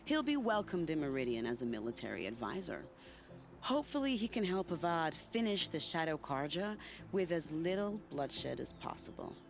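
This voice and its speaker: Game role: sly spy, lowish voice, very animated, teasing, music underneath. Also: female voice, normal speed, vocal fry, full character voice. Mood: gleeful, scheming. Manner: teasing, silky, dramatic. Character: sly, theatrical female spy, confident and scheming. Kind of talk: video game cutscene dialogue acting.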